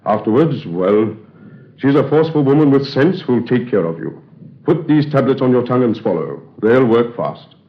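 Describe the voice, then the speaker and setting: A brisk, patronizing older friend, played by a man, firm and scolding in tone. His intonation is lively, in 1930s radio-play style. The recording is dull and roomy. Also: medium pitch, close to an everyday voice. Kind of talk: 1930s radio drama acting.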